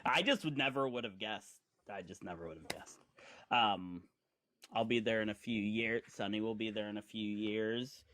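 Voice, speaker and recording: A man's voice: lowish voice, normal speed, a bit bright. A man in his forties from Indiana, hosting a casual online talk show and rambling through his points with lots of fillers and teasing asides. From Indiana, USA. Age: 40s. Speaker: podcast host. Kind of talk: casual live-stream chat.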